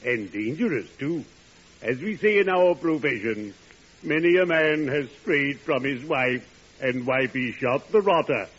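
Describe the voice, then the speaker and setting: An actor playing a friendly, morbidly genteel undertaker in 1940s radio comedy, with a formal, theatrical, drawn-out delivery. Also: male voice, medium pitch, expressive, a bit bright, breathy edge. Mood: solemnly scandalized. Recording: noisy, dull old radio recording.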